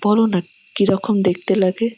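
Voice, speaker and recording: A woman's voice: medium pitch, little pitch movement, normal speed, dull audio, a little hiss or hum, natural voice. An actress playing a weary, reluctant female spirit medium, languid and strained, in an audio horror drama. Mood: weary, subdued.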